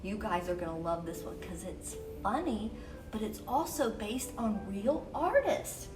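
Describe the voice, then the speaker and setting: A woman reading a picture book aloud to children as a storyteller, expressive and exclamatory, with dramatic emphasis on key words. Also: mid-range voice, unhurried, distant mic, over music. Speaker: children's storyteller and event host. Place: University museum gallery.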